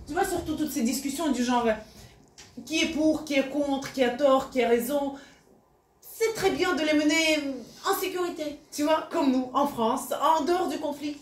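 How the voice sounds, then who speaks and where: A woman plays a calm, reflective actress in a stage scene, speaking in a high voice. She talks in a measured, earnest way. The sound is echoey and a little distant from the mic. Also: slight background noise, natural voice. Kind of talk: stage play excerpt.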